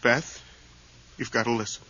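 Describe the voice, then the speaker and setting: An actor playing a desperate man possessed by his dead brother in a radio horror drama, halting, pleading and crying out in anguish. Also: medium pitch, fairly even intonation, bright, forceful tone. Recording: dull, muffled vintage radio recording.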